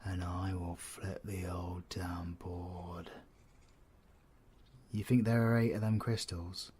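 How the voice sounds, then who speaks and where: A British man playing a cocky, menacing, flirtatious supervillain in an audio roleplay, husky and sardonic, often dropping to breathy whispers. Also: low-pitched, little pitch movement, slow pace, dark tone, a little hiss or hum. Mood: menacing, boastful. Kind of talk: scripted audio roleplay, ASMR drama.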